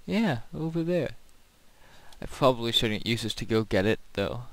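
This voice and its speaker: A man hosts a gaming video with casual, unscripted commentary. His voice sits at an average male pitch. He rambles along as he plays, explaining things in plain everyday talk. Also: expressive, moderate pace, no room sound, low-quality recording.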